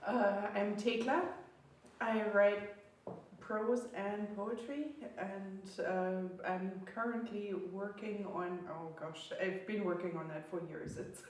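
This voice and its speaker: A woman's voice: mid-range voice, steady tone, slow. A woman writer introducing herself casually at a workshop, in a self-deprecating, giggly way. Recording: echoey room, distant mic, faint noise.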